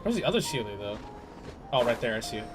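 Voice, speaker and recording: A man's voice: medium pitch, sing-song, quick pace. A young man giving casual, slangy gameplay commentary, often talking to himself as he plays. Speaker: video game streamer. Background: game music.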